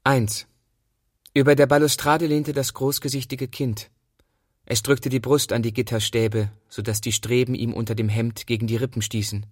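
A man's voice: medium pitch, fairly even intonation, normal speed, no echo, studio quality. A man reading literary prose aloud from a script. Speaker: reader.

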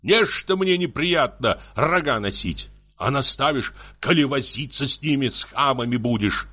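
Man performing a tipsy jealous husband, storybook drama, raised husky voice, sputtering scolding, tearful bluster, swooping pitch. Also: expressive, normal speed, bright, forceful tone. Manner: scolding, sputtering broken exclamations.

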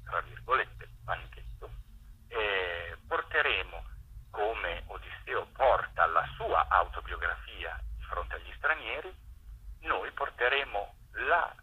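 A man explaining a project as an interview guest over a telephone line, pausing to search for words. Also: sits low, lively intonation, pushed and bright. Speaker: theatre maker interviewed as guest. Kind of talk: radio interview, explanatory talk. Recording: tinny, rough telephone line.

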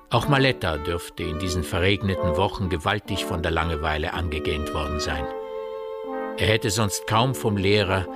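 A man narrating a radio drama as a literary storyteller, in a measured manner. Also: deep voice. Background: music.

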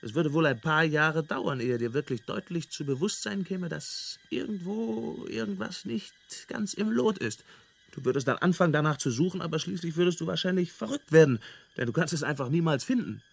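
Radio drama: breezy, experienced male space hitchhiker, slightly high voice, nonchalant explainer, amused and unflappable. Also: lively intonation, moderate pace, gravelly, slightly rough, exaggerated voice. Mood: calm, explanatory.

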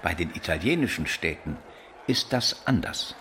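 An actor playing a shrewd, learned older bishop in a radio drama, speaking in a measured, didactic way. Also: male voice, sits low, lively intonation, pushed and bright, a little hiss or hum, exaggerated voice. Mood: explaining.

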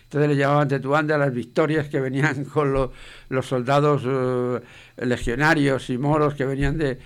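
Old guy from madrid, eighties, madrid accent, calm plain talk, says sí a lot. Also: medium pitch, normal speed, clean tone. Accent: Madrid Spanish. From Madrid, Spain. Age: 80s. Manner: plain, affirming with repeated "sí". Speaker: interview guest. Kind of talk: casual radio interview.

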